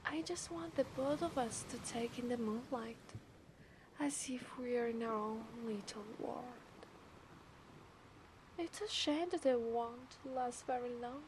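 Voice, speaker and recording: Over faint ambient noise comes a woman acting as a possessive, lovestruck mermaid with an Italian accent. Her voice is fairly high and breathy, often slipping toward a whisper. She speaks very slowly and teasingly, in an intimate ASMR roleplay style. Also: a little mellow. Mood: dreamy, devoted. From Italy. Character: obsessive, possessive, seductive yandere mermaid.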